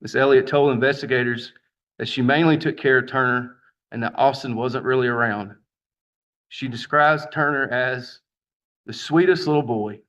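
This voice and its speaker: A man speaking as a prosecutor, laying out facts formally and in a measured way in a courtroom, on dull lo-fi audio. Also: mid-range voice, fairly bright tone.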